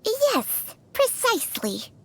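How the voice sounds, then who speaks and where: A woman's voice: very high-pitched, wildly swooping pitch, slow pace, a bit bright, dry recording, heavily acted. A woman voicing a haughty, impatient snake companion in a video game, with an imperious, easily irritated drawl. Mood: imperious, pleased. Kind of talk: English game voice acting.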